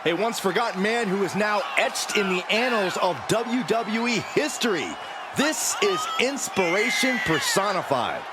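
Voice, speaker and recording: A shouting, high-pitched wrestling commentator. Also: male voice, animated, a little slow. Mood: awed excitement. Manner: shouting, grandiose. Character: excited, grandiose male wrestling commentator. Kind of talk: sports commentary in a video game. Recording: loud crowd roar, heavy arena noise.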